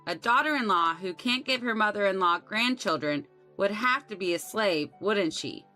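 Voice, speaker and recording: A woman's voice: mid-range voice, lively intonation, moderate pace, bright, forceful tone, soft background music. A woman voicing a cruel older mother-in-law, matter-of-fact and disdainful, in a narrated family story. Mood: casual contempt.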